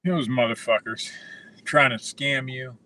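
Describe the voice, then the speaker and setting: A man's voice: medium pitch, steady tone, unhurried, pushed and bright, dry recording. Southern man joking from a parked car. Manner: wry, joking, swears freely. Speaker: podcast co-host. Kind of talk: casual podcast chat.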